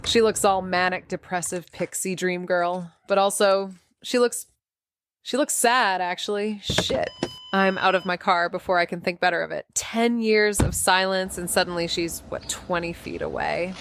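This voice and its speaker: A woman's voice: on the low side, moderate pace, fairly bright tone, smooth. An actress playing a fierce, sarcastic young detective in a noir audio drama, blunt and rambling as she tells her story. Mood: sardonic, agitated. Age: young adult. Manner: sardonic, blunt, rambling, self-interrupting. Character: Amy, fierce sarcastic foul-mouthed young female detective. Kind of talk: first-person noir audio drama narration.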